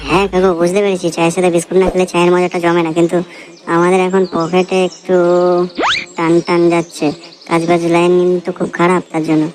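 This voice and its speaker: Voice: medium pitch, little pitch movement, quick pace, darkish tone, faint music behind, lightly acted. A performer voicing a broke young labourer in a comedy cartoon, speaking colloquially and repeating short phrases. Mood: complaining, sheepish. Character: broke young labourer, foul-mouthed, easygoing, looking for work.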